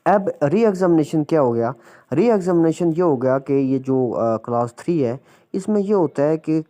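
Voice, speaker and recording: Mellow-voiced Pakistani man giving a lecture. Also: on the high side, animated, normal speed. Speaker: law lecturer. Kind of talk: explanatory lecture mixing Urdu and English.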